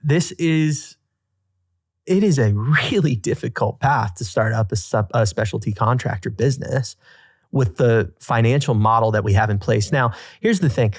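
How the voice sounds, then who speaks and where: A man's voice: mid-range voice, normal speed, no room sound. A man with a mid-range voice co-hosts a casual podcast. He speaks emphatically and informally, repeating phrases for punch and throwing in mild slang. The sound is dry, with very little room on it. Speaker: podcast co-host. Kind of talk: casual podcast banter and commentary.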